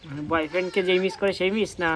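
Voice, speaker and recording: A young man talks extremely fast in a casual phone chat. He teases and presses, circling back to the same question. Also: sits high, fairly even intonation, background noise. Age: young adult. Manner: teasing, insistent, repeats questions. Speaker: prank video creator.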